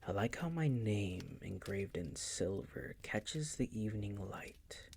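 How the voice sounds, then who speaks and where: A man playing a possessive, condescending vampire master in an intimate roleplay audio, teasing in a breathy, gravelly, often whispered voice. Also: fairly low pitch, expressive, darkish tone, a little hiss or hum, exaggerated voice. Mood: soothing, condescending. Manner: slow, teasing, condescending purr.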